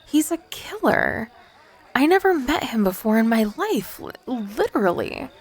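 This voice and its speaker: A woman's voice: fairly high pitch, sing-song, measured pace, slightly rough, heavily acted. A woman acting as an awkward, nervous young female ghost in an ASMR roleplay, stammering, flustered and defensive.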